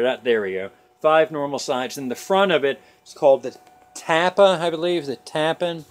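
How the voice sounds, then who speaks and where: Joking bearded man vlogging outdoors. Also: mid-range voice. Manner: jokey, self-deprecating, rambling. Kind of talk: casual rambling vlog. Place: outdoors in a suburban front yard. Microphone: phone camera at arm's length.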